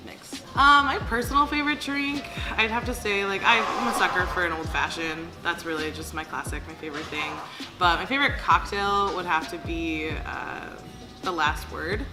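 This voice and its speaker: A woman's voice: lowish voice, low-quality recording. A woman who manages a bar, talking eagerly and chattily in an interview, with plenty of ums and you knows. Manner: chatty, eager, many fillers. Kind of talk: casual interview. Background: background music.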